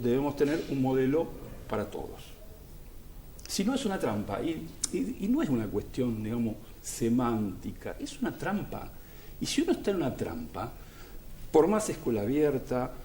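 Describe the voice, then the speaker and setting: A man's voice: medium pitch, mellow, echoey room, slight background noise. A man, speaking as an education specialist on a panel discussion, earnest and emphatic, building his points through repetition.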